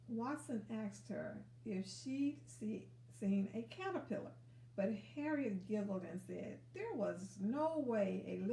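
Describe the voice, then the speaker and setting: A woman reading a children's story aloud expressively, pausing to encourage young listeners with questions. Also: medium pitch, dark tone, a little hiss or hum. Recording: echoey room sound.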